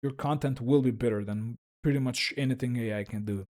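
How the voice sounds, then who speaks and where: A man's voice: mid-range voice, fairly quick, a little mellow. Wry man with a Russian accent. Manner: frank, wry, self-deprecating. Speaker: YouTube creator. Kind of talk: solo explainer talk.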